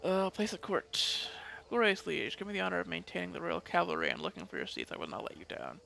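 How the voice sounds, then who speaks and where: A man's voice: sits high, sing-song, fast, creaky, pushed and bright. A man giving casual let's play commentary, thinking aloud and reading bits of game text, with some mild swearing.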